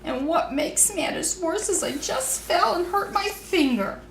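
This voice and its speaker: A woman's voice: extremely high pitch, lively intonation, unhurried, voice pushed up, full character voice. A forlorn female statue come to life in a children's storybook, played by a woman reading aloud. She speaks plaintively and with emphasis, aggrieved at being forgotten. The room is echoey, with faint noise behind. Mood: sobbing, aggrieved. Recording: roomy echo, faint background noise.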